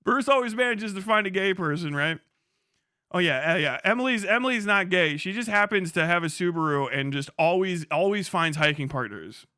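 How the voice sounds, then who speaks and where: A man with a high, bright voice rants on a live stream. He is irreverent, profane and joking, and sits close to a desk mic in a dry, dead room. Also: animated, normal speed, no echo. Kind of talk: casual live-stream rant.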